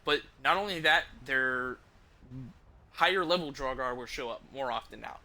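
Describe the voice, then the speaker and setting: A man talking casually on a game livestream, rambling in an informal, enthusiastic and halting way. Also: medium pitch, sharp tone. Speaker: game streamer.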